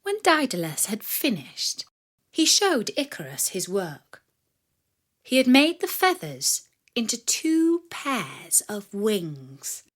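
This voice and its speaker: A woman reading a scripted story for children in a measured, expressive storyteller's manner. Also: fairly high pitch. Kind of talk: scripted narration of a myth for children.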